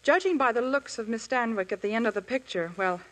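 A woman's voice: a little high, fairly quick, low-quality recording. A young woman, an actress guest, chatting politely and earnestly in a scripted exchange. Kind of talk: scripted intermission chat.

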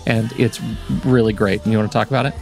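A man co-hosting a podcast in casual chat, talkative and quick to laugh at his own slips. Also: on the low side, fairly even intonation, faint music behind. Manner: chatty, self-correcting, laughs easily. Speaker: podcast co-host.

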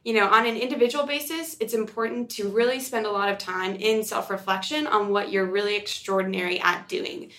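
A woman with a bright, crisp-edged voice at an average pitch. She talks conversationally and affirmingly, as a co-host in a casual podcast discussion. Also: fairly even intonation, brisk, few pauses.

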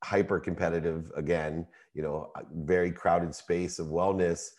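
Deep-voiced man interviewing. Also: level tone, moderate pace, darkish tone. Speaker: podcast host, interviewer. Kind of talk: conversational interviewing.

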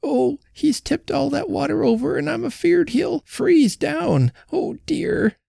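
A fretful old countrywoman, as a male reader puts her on, her voice quavering high and thin with worry. She frets in rustic dialect, breathy and soft, slow as she dwells on her fears. The sound is dry and close. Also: lively intonation, no room sound, heavily acted. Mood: anxious fretting. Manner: tremulous, anxious, rustic dialect. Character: fretful timid old farm wife.

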